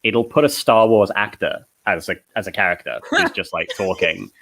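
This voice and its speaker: A man chatting casually in a group, telling stories in a rambling, excitable way. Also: on the low side, normal speed, creaky. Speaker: co-writer on a fan script project.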